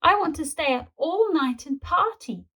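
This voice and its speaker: A woman's voice: high-pitched, lively intonation, moderate pace, bright, forceful tone, pitched above the performer's own voice, heavily acted. A woman voicing a cheeky, defiant young boy who whines and protests, in a children's storybook. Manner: whiny, protesting.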